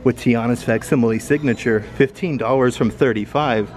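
A man's voice: medium pitch, moderate pace, darkish tone. A man vlogging an upbeat shopping walkthrough in an outlet store, filming handheld and reading off prices. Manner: upbeat, enthusiastic, lists prices.

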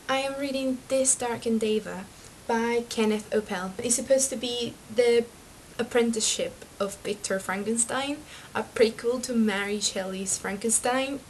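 A young woman from Venezuela, chatty and excitable, talking to her webcam about books in a small bedroom. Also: medium pitch, a little hiss or hum, poor audio. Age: young adult. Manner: chatty and excitable, laughs at her own slips. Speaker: book vlogger. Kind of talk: casual chat to camera. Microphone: webcam mic.

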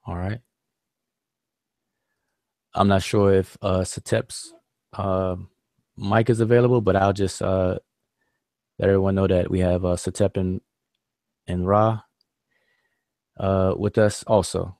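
Deep-voiced man teaching an online class. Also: takes it slowly, long pauses, no echo, studio quality. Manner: relaxed, many fillers like "all right".

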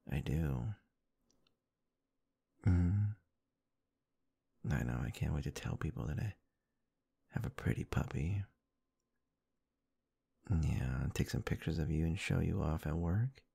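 A man doing intimate roleplay ASMR, murmuring softly and affectionately in a soothing, breathy way close to the microphone. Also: a bass voice, expressive, unhurried, long pauses, no echo. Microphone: close-up microphone, dry.

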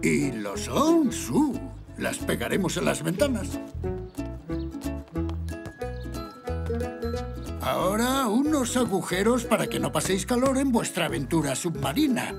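A man's voice: sits high, very animated, normal speed, creaky, over music, heavily acted. A man playing a kindly, playful older zebra grandfather in a children's cartoon, with a Castilian Spanish accent and an encouraging manner.

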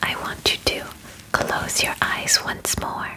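Slow whispering alien examiner, played by a woman. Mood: calm, clinical. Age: 20s. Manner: slow, clinical, formal, gently reassuring. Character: calm, methodical female alien examiner inspecting a human. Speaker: ASMR performer. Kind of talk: whispered ASMR roleplay. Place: bedroom studio, indoors. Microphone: close ASMR microphone.

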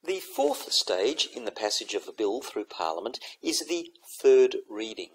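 A man giving a recorded lesson, explaining in a measured, methodical way. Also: lowish voice, sing-song, a little hiss or hum, poor audio. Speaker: teacher. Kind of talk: recorded lecture over slides.

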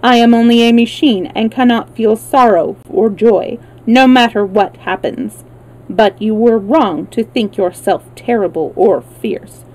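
A woman's voice: on the high side, a little mellow, poor audio. Woman playing a blunt, unemotional clockwork man. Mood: flat, frank. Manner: matter-of-fact, measured. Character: unemotional, blunt, male mechanical man, wound-up machine.

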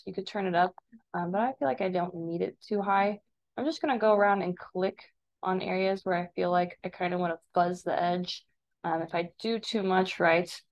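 An American woman instructor talking informally through a software demonstration, step by step, as she does each action. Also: lowish voice, lo-fi sound. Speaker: instructor giving a software tutorial. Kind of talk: casual step-by-step screen demonstration.

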